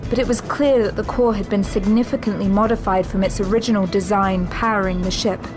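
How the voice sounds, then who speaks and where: A woman's voice: mid-range voice, fairly even intonation, a little fast. A woman delivering scripted dramatic narration as the narrator of an audio drama. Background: music.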